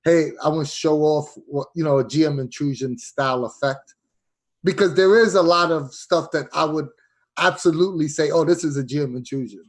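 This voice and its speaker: A man with a fairly high-pitched voice hosts a podcast interview. He talks casually and conversationally, putting questions to his guest. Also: fairly quick.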